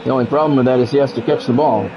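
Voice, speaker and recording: A man streaming a football video game, giving excitable play-by-play and bluntly talking at the players in short, urgent, repeated phrases. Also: medium pitch, racing, background noise. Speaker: gaming streamer doing play-by-play.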